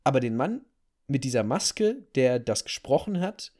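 A man's voice: medium pitch, very animated, normal speed, darkish tone. A man hosting a talk show, telling a story in a casual, conversational way and repeating phrases for emphasis. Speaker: podcast host telling a story.

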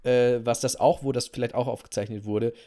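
A man's voice: mid-range voice, steady tone, fairly quick, dark tone. A man with a German accent co-hosting a podcast, explaining things in casual conversation. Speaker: podcast co-host.

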